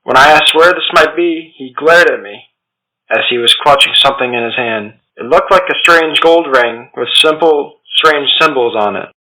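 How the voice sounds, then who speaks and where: A man playing a formal substitute teacher at an occult academy, addressing a class in a measured, scripted audio drama style. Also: mid-range voice, sharp tone, natural voice, low-quality recording. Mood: composed, formal. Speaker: narrator.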